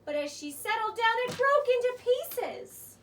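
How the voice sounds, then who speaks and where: A woman narrating a children's story as an expressive, theatrical storyteller. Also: extremely high pitch, a little slow. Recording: distant mic with faint noise.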